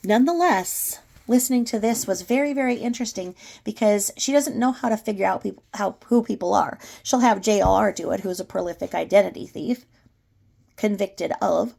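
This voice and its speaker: A woman with noticeable vocal fry and a slightly low voice delivers off-the-cuff commentary. She sounds disapproving and emphatic, leaning on words as she airs her scornful opinions. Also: normal speed, warm tone. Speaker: true crime commentary channel host.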